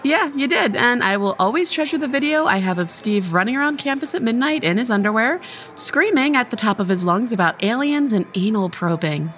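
A woman's voice: a little high, animated, a little fast, a bit bright, soft background music. A young woman acting a chatty, blunt college student who cares about her friend, in a casual, wry audio drama delivery. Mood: wry, teasing. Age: young adult. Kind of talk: dramatic dialogue in old time radio style audio play.

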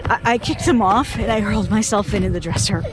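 A woman playing a terrified young female survivor in an audio horror drama, recounting events in a halting, haunted way with stammered repetitions. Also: medium pitch, close to an everyday voice. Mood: frightened, urgent. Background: music.

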